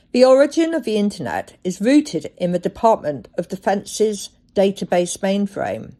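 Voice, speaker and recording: A woman hosting a solo podcast, telling things reflectively with deliberate, dramatic phrasing. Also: medium pitch, warm tone. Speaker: podcast host.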